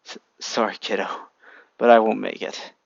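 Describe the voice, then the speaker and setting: A grave, tender dying father with a sword, as a man puts him on for a storybook reading. He speaks low and hushed, slowly and haltingly. The recording is dull and dry. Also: flat delivery, creaky, no echo. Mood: pleading.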